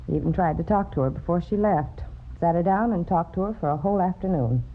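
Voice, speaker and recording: A woman's voice: fairly low pitch, fast. A woman playing a gossipy, disapproving middle-aged landlady, chatty and lamenting, in old radio drama style. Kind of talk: 1950s radio drama acting. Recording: muffled old radio recording, faint hiss.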